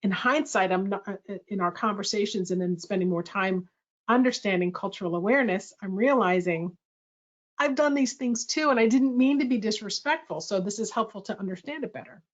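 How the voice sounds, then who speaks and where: A woman's voice: mid-range voice, a little fast. A middle-aged woman hosting a workshop, speaking in a thoughtful, reflective way and correcting herself as she goes. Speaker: workshop host. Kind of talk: presentation dialogue. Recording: slightly muffled audio.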